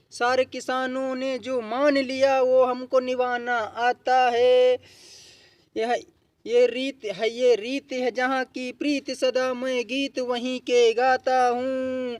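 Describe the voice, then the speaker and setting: A man at a public gathering, addressing the crowd and singing protest verses in a slow, repetitive, chant-like refrain. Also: very high-pitched, no echo. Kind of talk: sung protest verses with short spoken lead-in. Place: public gathering with a seated audience.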